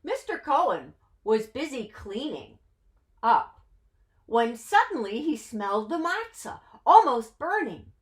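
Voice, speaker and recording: A woman's voice: on the high side, sing-song, takes it slowly. A woman reading a picture book to children expressively, putting questions to her young listeners. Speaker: children's story reader.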